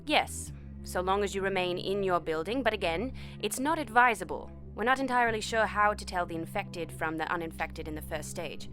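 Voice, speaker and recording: An actress playing a businesslike quarantine official in an audio drama, measured and evasive in her delivery. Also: mid-range voice, lively intonation, a bit bright, faint music behind, natural voice. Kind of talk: naturalistic audio drama acting.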